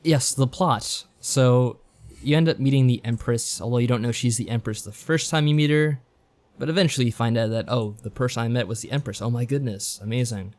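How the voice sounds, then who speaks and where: A man's voice: mid-range voice, a little fast, a little mellow. A man co-hosting a podcast in casual chat, giving a rambling, joking recap. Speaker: podcast co-host. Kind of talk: casual chat reviewing visual novels.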